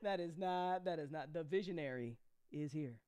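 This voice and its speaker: A woman hosting a casual livestream chat as an audio drama creator, animated and joking, with informal, sweary talk full of y'all. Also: deep voice, normal speed, clean tone, no room sound. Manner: informal speech with frequent y'all. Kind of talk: casual livestream chat answering audience questions.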